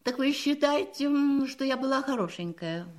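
A woman's voice: high-pitched, lively intonation, moderate pace, a little mellow. An actress playing an elderly spinster lady in a drama, candid and gracious, with a reflective, teasing manner. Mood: lively, gracious. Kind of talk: acted radio-play style dialogue. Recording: music playing behind the voice.

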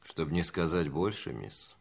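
A man's voice: a bass voice, sing-song, normal speed, gravelly, slightly rough, exaggerated voice. An actor playing a courteous, admiring bachelor in his thirties in a drama, polite and earnest. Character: courteous, admiring thirty-one-year-old bachelor gentleman. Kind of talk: acted radio-play style dialogue. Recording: music playing behind the voice.

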